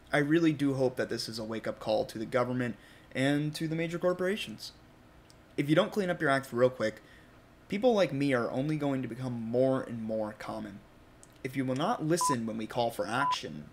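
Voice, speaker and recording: A man's voice: mid-range voice, a little hiss or hum, lightly acted. A man playing a resolute saboteur recording a grave, declamatory final message for an amateur audio drama.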